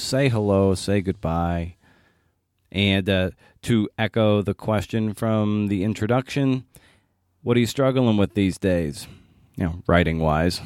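A man's voice: on the low side, a little mellow, no echo, studio quality. A man hosting a podcast, giving a casual outro in short, informal phrases. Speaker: podcast host. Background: guitar music.

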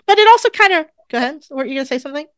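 A woman with a stuffed-up, congested voice co-hosts a casual podcast and steers the conversation with chatty, opinionated energy. Her voice sits a little higher than most and sounds close and dry, as if recorded in her home office. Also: lively intonation, rapid-fire, dry recording. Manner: chatty and opinionated, leading the talk. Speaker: podcast co-host. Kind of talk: casual chat.

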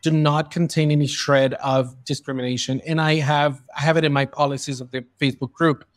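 An earnest man hosts a podcast conversation, his voice sitting a little high for a man. The sound is dry and close, with no room to it. He talks in long stretches and often restarts his sentences. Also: fairly even intonation, fairly quick, dry recording. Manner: earnest, fluent with frequent restarts. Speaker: podcast host. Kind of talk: conversational interview. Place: in Spain.

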